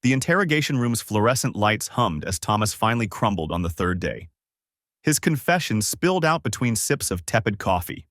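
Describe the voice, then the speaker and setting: A man reading a scripted dramatic story in a measured, polished storyteller's delivery. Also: on the low side, sing-song, a bit bright, dry recording, studio quality. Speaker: narrator of an online story channel.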